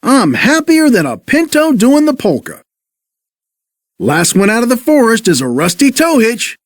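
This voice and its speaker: Excitable, easily spooked cartoon-style tow truck, played by a man, folksy storybook character, high and very animated. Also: a little slow, dry recording, clean studio sound, exaggerated voice. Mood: gleeful. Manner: folksy, exclaiming. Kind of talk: read-along children's story character.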